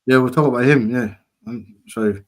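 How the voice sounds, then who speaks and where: A man's voice: medium pitch, brisk. A man co-hosting a casual stream chat, blunt and argumentative with heavy slang. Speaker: co-host.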